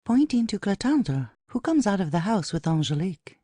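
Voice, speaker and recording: A woman's voice: mid-range voice, big pitch swings, normal speed, warm tone, slightly rough, no echo. A woman reading stage directions and scene headings as scripted play narration.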